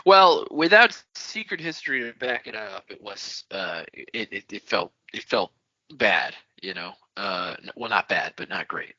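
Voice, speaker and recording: Very bright, lowish male voice. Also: expressive, unhurried, slightly rough, no echo, muffled recording. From Texas, United States. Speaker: podcast co-host. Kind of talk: casual chat.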